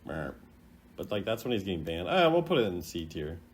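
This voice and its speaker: A man's voice: sits low, big pitch swings, a little fast, slight background noise. A man hosting a video in casual unscripted commentary, rambling and hesitant in a laid-back way. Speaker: host ranking game voice lines.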